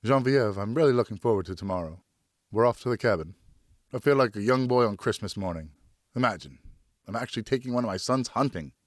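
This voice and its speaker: A man's voice: on the low side, animated, fast talker, dry recording, studio quality, close to an everyday voice. A man playing an indulgent father, an eighteenth-century French nobleman, in a hearty and fond manner. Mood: excited, reassuring.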